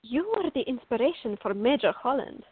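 A woman's voice: on the high side, lively intonation, brisk, husky, no room sound. A woman playing a fiery, stubborn, teasing young artist in a radio drama, with an emphatic, wry way of contradicting. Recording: thin, phone-like band-limited audio.

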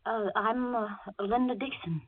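A woman's voice: on the high side, measured pace. An actress playing a frightened young woman in a 1940s radio thriller, with a tense, melodramatic delivery that stammers under fear. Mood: nervous, hesitant. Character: frightened young woman motorist, anxious, trapped, narrating her ordeal. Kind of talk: 1940s radio thriller acting, suspenseful first-person narration. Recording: noisy, tinny old 1940s radio recording.

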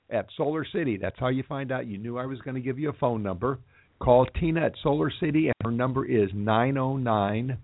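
A man hosts a live radio show, reading an ad and then chatting with musicians, his speech full of pauses and restarts. The sound is dry and tinny, like a narrow phone-band recording with no room around it. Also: medium pitch, creaky, no room sound. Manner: halting, many fillers and restarts. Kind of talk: live radio interview and ad read.

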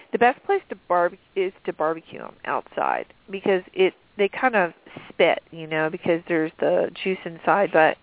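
A woman's voice: on the low side, no room sound. A sniffly woman co-hosting a cooking show, chatting casually and rambling with lots of fillers. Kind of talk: casual chat. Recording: dry tinny phone line.

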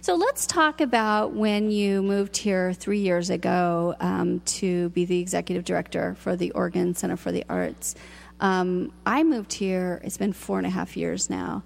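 A woman hosting a radio interview, chatty and enthusiastic, with a loud voice that projects. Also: lowish voice, measured pace, creaky. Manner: enthusiastic, chatty, laughs often. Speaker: radio show host.

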